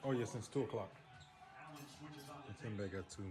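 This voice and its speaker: A man's voice: fairly low pitch, normal speed. A young man casually chatting and teasing his wife as he films her cooking in their apartment kitchen, holding the camera himself. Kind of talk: casual vlog chat. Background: music playing.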